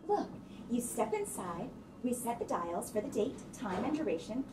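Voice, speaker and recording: A woman playing a brisk, insistent scientist in a staged screenplay reading. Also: on the high side, sing-song. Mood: brisk explaining. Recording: echoey auditorium, distant mic, faint noise.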